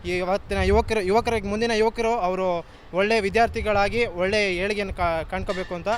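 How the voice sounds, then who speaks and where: A man giving an informal speech as a contest participant, halting and restarting his phrases. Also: high-pitched, fairly even intonation. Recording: faint outdoor traffic noise.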